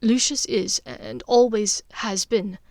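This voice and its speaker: A woman voicing a proud, sarcastic young man in an audiobook, with blunt, halting, emphatic delivery. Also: medium pitch, animated, a little mellow, no echo, clean studio sound. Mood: bitter, blunt. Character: proud, bitter young wizard son, sarcastic.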